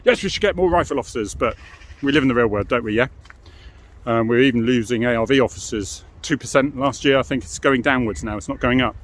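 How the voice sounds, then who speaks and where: A man's voice: mid-range voice, quick pace. A middle-aged British man giving an informal, explanatory monologue as a former police officer, frank and matter-of-fact, often adding tag questions.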